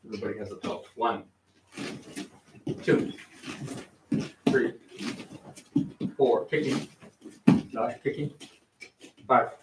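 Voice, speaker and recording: Slow low-voiced karate instructor in echoey hall. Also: male voice. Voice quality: low baritone. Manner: slow, counts drills aloud and corrects form. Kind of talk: calling drills in a kids karate class. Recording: echoey hall, far from mic, noisy.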